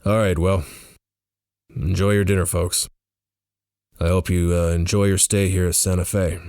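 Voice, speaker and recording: A man's voice: low voice, little pitch movement, normal speed, darkish tone, no room sound, studio quality. A man voicing a suspicious, official-sounding male police officer questioning people in an audiobook. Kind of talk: first-person audiobook dialogue.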